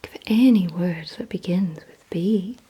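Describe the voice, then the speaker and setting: A woman whispering in a breathy, hushed ASMR roleplay style, giving deliberate instructions directly to the listener. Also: on the low side. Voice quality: breathy, mostly unvoiced whisper. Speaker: ASMR performer.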